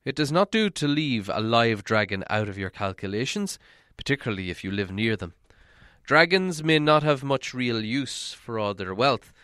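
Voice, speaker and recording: Male narrator for a fantasy audiobook: medium pitch, dramatic and deliberate delivery, dry studio-like sound. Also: a bit bright, clear, resonant tone, no room sound. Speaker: audiobook reader. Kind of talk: dramatic scripted storybook narration.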